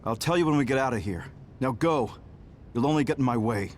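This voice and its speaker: A man plays a commanding military general in a game cutscene, medium in pitch. He is firm, protective and authoritative, with a faint rumble of noise behind him. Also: lively intonation, a little fast, natural voice. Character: commanding, protective adult male major general. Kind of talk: scripted video game cutscene acting.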